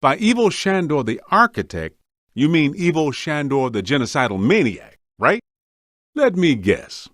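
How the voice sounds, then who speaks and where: An actor playing a streetwise, wisecracking, grumbling ghost hunter in a scripted video game. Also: male voice, on the high side, very animated, a little slow, a bit bright, no room sound, studio quality, full character voice. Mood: wry, questioning. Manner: wry, streetwise, complaining.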